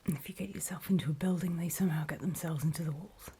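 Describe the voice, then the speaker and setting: A woman playing a wary, battle-worn survivor of a zombie apocalypse, speaking in a breathy, deliberate whisper in an ASMR horror roleplay. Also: deep voice, steady tone, slight background noise, lightly acted. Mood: wary, instructive. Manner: hushed, deliberate, halting storytelling with pauses.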